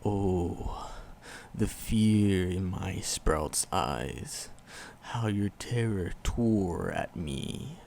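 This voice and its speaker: A man playing an ancient, tender and sorrowful forest demon in an ASMR roleplay, with a gravelly voice and solemn, archaic storytelling. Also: low-pitched, very animated, extremely slow, darkish tone, slight background noise, heavily acted. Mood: sorrowful, regretful. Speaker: voice actor in audio roleplay.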